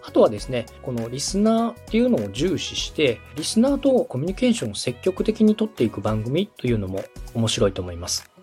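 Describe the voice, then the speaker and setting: A man's voice: medium pitch, sing-song, brisk, hardly any pauses, darkish tone, soft background music. A man hosting and coaching, explaining things step by step in a polite, instructive way. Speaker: podcast host and coach, a radio director. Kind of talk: structured explanatory coaching on podcast production.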